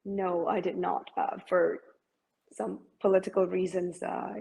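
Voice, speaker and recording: A woman's voice: fairly low pitch, little pitch movement, a little slow, creaky, dark tone. A middle-aged woman from Malaysia, a masters swimmer talking as an interview guest, hesitant with many fillers and restarts. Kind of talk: interview conversation.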